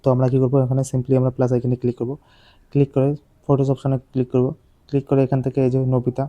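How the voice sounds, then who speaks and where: A male tutorial host walks through steps informally. His tone is very dark and soft, with little movement in pitch. He explains haltingly and repeats himself as he goes. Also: completely flat delivery, dull audio. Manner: repetitive step-by-step explaining, halting. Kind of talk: informal step-by-step software tutorial.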